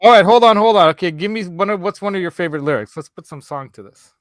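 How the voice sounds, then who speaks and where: A man's voice: high voice, lively intonation, fast talker, fairly bright tone, no room sound. Man with a slight Hungarian accent. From United States. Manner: rambling, laughs easily. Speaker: host. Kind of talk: casual chat.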